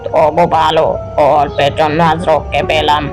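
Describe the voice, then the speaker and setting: A man's voice: a little high, monotone, normal speed, natural voice. A man voicing a tipsy, muddled, easily scared fellow who rambles to himself in a horror cartoon. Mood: frightened. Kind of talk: Bengali horror cartoon voice acting. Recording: music under the voice.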